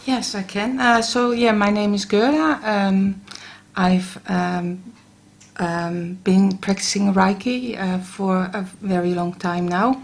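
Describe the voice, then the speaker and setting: A middle-aged woman from the Netherlands with a Dutch accent, a guest on a radio show, talking about Reiki with many pauses and restarts. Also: medium pitch, fairly even intonation, gravelly. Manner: hesitant, many ums and restarts. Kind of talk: casual radio interview. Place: radio studio.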